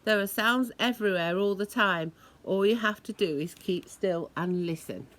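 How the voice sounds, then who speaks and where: A woman reading a children's story aloud in a deliberate, expressive way, imitating the sounds it describes. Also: mid-range voice, a little hiss or hum. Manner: deliberate, acts out noises expressively.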